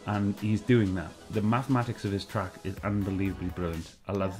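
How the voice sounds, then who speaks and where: A quick-talking Welsh man in his middle years, chatting from a sofa at home. His voice sits fairly low, and he rambles along, looping back over his points with plenty of ums. Faint music sits under his voice. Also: a little mellow. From Wales. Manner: rambling, repeats himself, many fillers. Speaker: host. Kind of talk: casual review chat. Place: living room, seated on a sofa.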